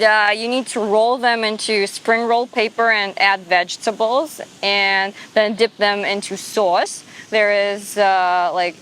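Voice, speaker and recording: A woman's voice: medium pitch, a bit bright, a little hiss or hum. A young woman from Russia chatting in an interview, filling with "like" and "um". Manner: chatty, lots of likes and ums. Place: beach outdoors.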